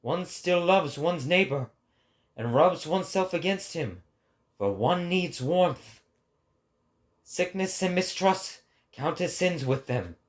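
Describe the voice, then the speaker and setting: A man acts out a lofty male prophet delivering a philosophical oration. The voice sits fairly high and moves very slowly, each phrase weighed out with grave, sorrowful, declamatory weight. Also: lively intonation. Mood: scornful, declamatory.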